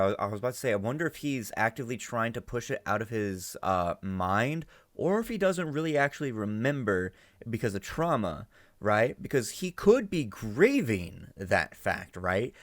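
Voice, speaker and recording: A man's voice: on the low side, no echo, studio quality. A man giving casual, rambling reaction commentary as a host, often tagging his phrases with "right?". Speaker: host reacting to and analysing an audio drama.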